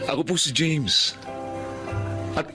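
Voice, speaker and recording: A man's voice: fairly high pitch, slow. A man playing an earnest, grateful Filipino husband narrating his life in a radio drama. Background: music.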